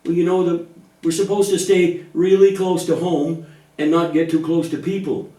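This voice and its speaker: Mellow, reassuring man in an echoey room. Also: a little high, flat delivery, normal speed. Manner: reassuring, patient, explanatory. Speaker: adult partner in a church puppet show. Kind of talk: gentle scripted dialogue teaching children about faith. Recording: echoey room, distant microphone.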